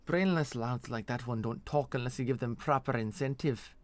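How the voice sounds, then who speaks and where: A man voicing a ruthless, sharp-tongued mercenary woman who mocks and menaces, with a put-on accent, in a fantasy story. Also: mid-range voice, normal speed, pitched above the performer's own voice, close to an everyday voice. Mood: mocking, scornful. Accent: put-on foreign fantasy accent.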